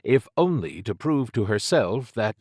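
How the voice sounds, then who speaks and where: A man's voice: mid-range voice, very animated, a little slow, darkish tone, breathy edge, dry recording, studio quality. A man narrating scripted fiction as an audiobook reader.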